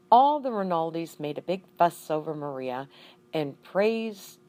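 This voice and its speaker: Slow, expressive woman reading a story. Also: deep voice. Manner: deliberate, with emphatic repeated words. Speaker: audiobook reader. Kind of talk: expressive read-aloud of a children's story.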